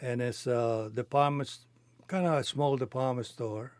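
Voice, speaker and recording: A man in his eighties from Cuba, a native Spanish speaker with a Cuban accent, recounting his life in halting, non-native phrasing. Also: medium pitch, fairly even intonation, clean tone, no room sound. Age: 80s. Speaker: interviewee telling his life story. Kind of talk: reminiscing conversation.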